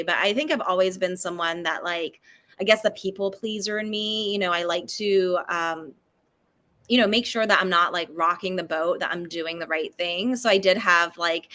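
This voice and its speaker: A woman's voice: lowish voice, pushed and bright. A woman from Hong Kong answering interview questions in a reflective, conversational way, often saying "like" and "you know". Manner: reflective, talks in loosely linked phrases with frequent "like" and "you know". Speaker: podcast guest, publicity and marketing strategist.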